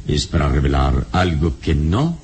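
A man playing a calm, wise hero in a classic radio drama, speaking in a solemn, philosophical, measured way. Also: full character voice. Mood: reassuring, resolute. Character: calm, wise male hero who investigates spirits. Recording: old radio recording, clear hiss and rumble.

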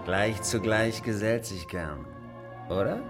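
An actor playing a needling, gossipy man from a rough small-town gang, slangy, in a radio drama. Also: lowish voice, takes it slowly, music in the background. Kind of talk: colloquial radio crime drama dialogue.

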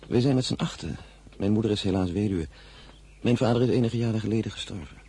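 A deep, mellow young gentleman suitor, acted by a man in a radio drama. He is courteous and eager to please, speaking briskly over faint noise. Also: natural voice. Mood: gallant. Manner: courteous, ingratiating. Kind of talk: radio play acting.

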